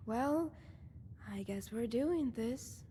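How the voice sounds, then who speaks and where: A woman playing a dry, impatient female adventuring companion in a video game, with deadpan sarcasm. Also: on the high side, very slow, slight background noise. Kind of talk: natural video game character acting.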